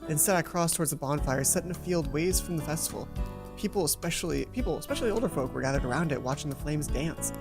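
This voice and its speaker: A woman's voice: deep voice, quick pace. A woman hosting a let's play, reading game narration aloud with casual asides. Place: closed hot room at home. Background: music.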